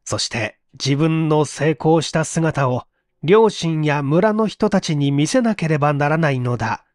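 A man reading a literary mystery story aloud in an expressive, theatrical storytelling manner. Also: fairly high pitch, measured pace, no echo, clean studio sound. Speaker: reader of audio stories.

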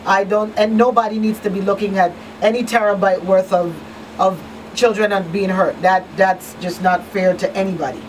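A woman's voice: medium pitch, fairly even intonation, normal speed, distant mic, slight background noise, low-quality recording. A middle-aged woman hosting a radio talk show, addressing listeners directly and emphatically. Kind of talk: public-service talk show wrap-up. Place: small indoor radio studio. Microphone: boom-mounted studio microphone.

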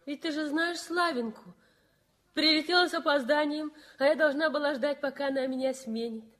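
An actress playing a young nurse and loving wife in a 1960s radio play, speaking in a soothing, pleading way. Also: female voice, high voice, moderate pace, fairly bright tone.

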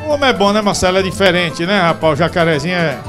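A middle-aged man hosts a studio show in a high voice with a Northeastern Brazilian accent. He banters in a teasing, exclamatory way into a handheld mic, with faint music around him. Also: fast talker. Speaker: TV show host. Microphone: handheld microphone.

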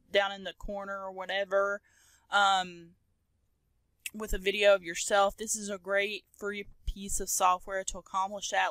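A woman hosting a casual software tutorial, explaining step by step in an informal, conversational way. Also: medium pitch, no room sound. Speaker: tutorial host.

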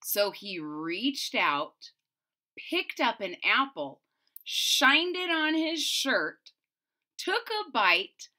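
Animated, high-voiced woman reading to children. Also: drawn-out words. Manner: expressive, dramatic, addressing young listeners. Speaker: storybook reader. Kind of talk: animated read-aloud for children with asides. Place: small furnished room at home, indoors.

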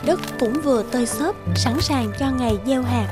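A woman's voice: high voice, normal speed, music in the background. A woman reading scripted storybook narration as the narrator of a folk tale.

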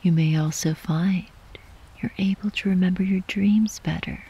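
A woman guiding a sleep meditation in a soft, breathy, whispery voice, gently and soothingly, with long unhurried pauses. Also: lowish voice, fairly even intonation, lo-fi sound. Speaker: yoga nidra meditation guide.

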